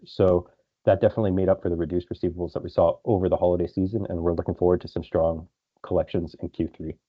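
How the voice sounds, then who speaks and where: Male corporate presenter, very low voice, businesslike financial briefing, muffled lo-fi conference call sound. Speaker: company executive presenting results. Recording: dull lo-fi conference call audio.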